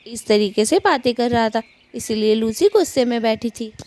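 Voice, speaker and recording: A woman's voice: on the high side, normal speed, noisy background. A woman narrating a Hindi cartoon story as its storyteller.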